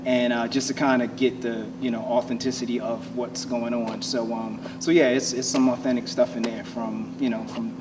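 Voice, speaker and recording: Male interviewee, playwright, fairly flat intonation, colloquial, halting, thoughtful, distant mic, noisy surroundings. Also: mid-range voice. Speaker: playwright and director being interviewed. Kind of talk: casual interview answers. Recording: off-mic with clear background noise.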